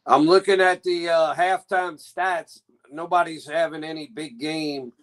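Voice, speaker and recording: A middle-aged man chats on a live stream, steering the talk with friendly follow-up questions. His voice sits slightly high for a man. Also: fairly even intonation, measured pace. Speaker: podcast co-host. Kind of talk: casual chat.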